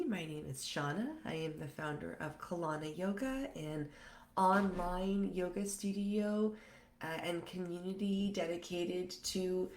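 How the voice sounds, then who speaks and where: A woman in her thirties, congested from a cold, hosting a live yoga workshop and talking haltingly with many fillers. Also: medium pitch. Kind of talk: live online workshop talk. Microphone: computer mic on a live stream. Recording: echoey room.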